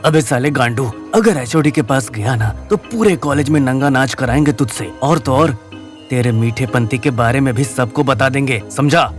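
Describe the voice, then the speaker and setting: A man's voice: mid-range voice, moderate pace, faint music behind, close to an everyday voice. A man voicing a cruel, crude young gang-leader bully in an audio story, threatening and taunting. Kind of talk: Hindi audio story character acting.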